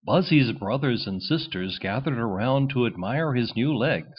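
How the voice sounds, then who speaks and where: A man reading a children's storybook as narrator in an expressive, scripted style. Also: mid-range voice, normal speed, husky, lo-fi sound.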